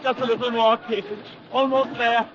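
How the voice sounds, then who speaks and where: A man's voice: very high voice, little pitch movement, normal speed, noisy background. A man acting a hunted, weakened fugitive in earnest 1940s radio drama style. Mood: urgent, desperate. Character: hunted, fevered, weakened man, tender and fearful for his lover. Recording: muffled vintage 1940s radio recording with music.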